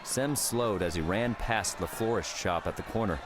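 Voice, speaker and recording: Deep-voiced male audiobook narrator. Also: moderate pace, a crowd in the background, noisy background. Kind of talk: scripted dramatic story narration.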